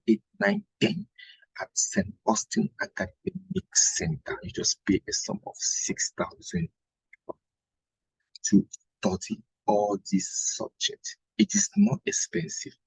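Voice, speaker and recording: Husky Cameroonian man teaching. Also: fairly low pitch, no room sound, rough recording. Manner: didactic, repeats phrases and checks with "right?". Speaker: history teacher. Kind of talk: exam revision lesson.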